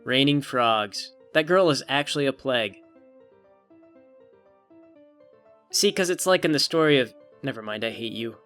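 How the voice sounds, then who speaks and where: Woman voicing a sarcastic, bright-toned brainy cartoon pony. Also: a bass voice, lively intonation, fairly quick, faint music behind. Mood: irritated. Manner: dry, blunt, sarcastic. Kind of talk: cartoon parody dub.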